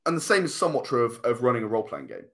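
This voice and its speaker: Guy being interviewed, middle pitch voice, thoughtful, explains his creative stuff at length. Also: wildly swooping pitch, racing, a bit bright. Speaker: comic and game writer, interview guest.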